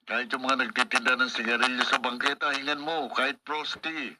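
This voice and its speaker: Goading corrupt Filipino cop, noisy recording. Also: male voice, mid-range voice, little pitch movement, brisk, sharp tone. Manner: persuasive, goading, cynical. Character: corrupt, worldly male police buddy urging bribe-taking. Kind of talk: Filipino radio drama acting. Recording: noisy radio broadcast sound.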